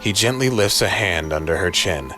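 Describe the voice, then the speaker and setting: A man narrating a scripted noir horror story in a deliberate, dramatic way. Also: sits low, expressive, sharp tone. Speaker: narrator and voice actor. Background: faint music.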